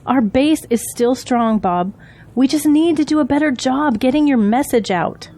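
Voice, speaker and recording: A woman's voice: sits high, animated, normal speed, mellow. A woman playing a loyal, frank political campaign aide in an audio drama, earnest and sympathetic. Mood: reassuring.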